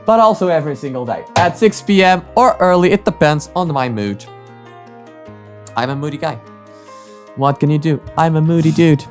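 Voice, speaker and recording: A man's voice: a little high, animated, normal speed, pauses often, faint music behind. A man hosting a reaction video with casual commentary, rambling and often repeating his phrases.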